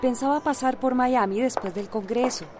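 An actress in a radio soap opera playing a practical, frank friend. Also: female voice, sits high, moderate pace, over music, natural voice. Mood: matter-of-fact. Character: practical, frank woman friend handling legal matters.

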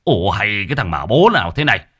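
A man's voice: on the low side, sing-song, fast talker, a bit bright, breathy edge, heavily acted. A man voicing a hot-tempered village husband in an audio story, blustering, coarse and stammering. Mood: outraged.

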